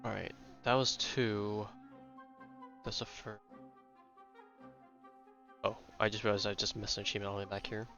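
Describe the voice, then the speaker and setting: A man's voice: lowish voice, little pitch movement, moderate pace, long pauses, bright, forceful tone. A man streaming a video game, chatting casually and thinking aloud in a rambling way, often repeating his words. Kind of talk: casual livestream gaming chat. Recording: dull lo-fi mic, game music behind. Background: video game music.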